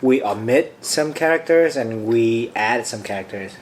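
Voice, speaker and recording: A young man from Vietnam, chatting casually while eating spicy food, relaxed and easygoing with frequent fillers. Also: medium pitch, a little slow, slight background noise, low-quality recording. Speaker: co-host.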